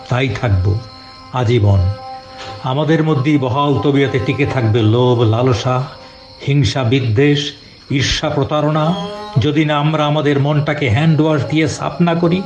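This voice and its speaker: Audio drama: man playing thoughtful, generous gentleman, medium register, declamatory, music underneath. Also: mid-range voice, lo-fi sound, natural voice. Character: earnest, generous gentleman, morally reflective. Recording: music behind the voice.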